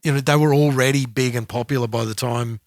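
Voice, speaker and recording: Aussie guy co-hosting, mid-pitch voice, thinks out loud, stop-start, dry mic. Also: steady tone, dry recording. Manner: thoughtful, halting, repeats words. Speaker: podcast co-host. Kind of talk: casual podcast chat.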